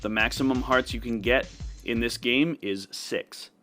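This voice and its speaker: A man's voice: mid-range voice, measured pace, a bit bright, faint music behind. A man giving casual, step-by-step walkthrough commentary as the host of a gameplay video. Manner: instructional, step-by-step.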